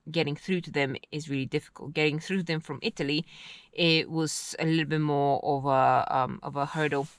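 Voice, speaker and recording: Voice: medium pitch, a bit bright, no echo. A van-life vlogger, speaking candidly to camera in an earnest, thinking-aloud way, often searching for words. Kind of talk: candid personal talk to camera.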